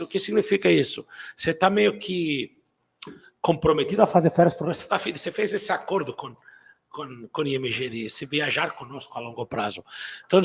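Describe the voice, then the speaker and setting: A man's voice: a little high, vocal fry, breathy edge. A middle-aged man whose first language is not Portuguese, with a Brazilian-learned accent, presenting a travel club to his viewers in rambling casual talk that repeats and restarts words and keeps adding "né?". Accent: non-native Portuguese, Brazilian-learned.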